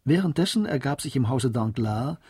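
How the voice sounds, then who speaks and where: A man with a husky voice reading scripted narration for a radio drama. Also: medium pitch, expressive, normal speed, mellow, no echo, studio quality.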